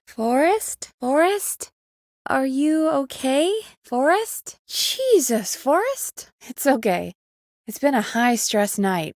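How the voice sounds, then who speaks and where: A woman voicing a video game character, a brisk, wry late-night radio producer who talks in a bossy, teasing, conversational way. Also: a little high, no room sound. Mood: worried, urgent, then calm, reassuring. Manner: bossy, teasing, dry sarcasm. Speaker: radio show producer giving cues.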